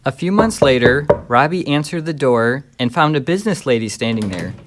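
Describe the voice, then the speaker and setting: A man's voice: medium pitch, animated, a little fast, background noise. A man narrating a scripted children's story as a storyteller.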